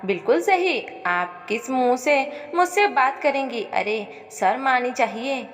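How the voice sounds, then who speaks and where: A woman's voice: high voice, animated, slow. A woman voicing a jealous, defiant young daughter in an audio story, theatrical and indignant, with long reverb. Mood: furious.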